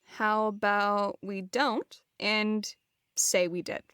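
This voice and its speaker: Woman playing a slow, wry adventurer. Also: mid-range voice, steady tone, a bit bright, smooth, no echo. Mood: dry teasing. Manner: casual, teasing. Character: wry, unbothered female adventurer, a party sidekick. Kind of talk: fantasy audio roleplay acting.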